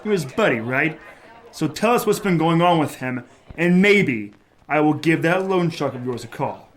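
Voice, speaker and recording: An actor playing a hard-boiled, impatient private eye in a noir radio drama, with wry streetwise talk. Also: male voice, a little high, expressive, moderate pace, a faint crowd, close to an everyday voice. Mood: pressing. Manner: gruff, wry, streetwise slang.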